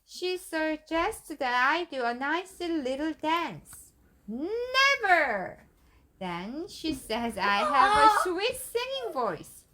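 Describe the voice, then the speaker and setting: Lively high-voiced mom reading. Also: female voice, takes it slowly, slight background noise. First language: Korean. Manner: animated, playful reading with giggles. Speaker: mother reading to her child. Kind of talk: bedtime storybook read-aloud.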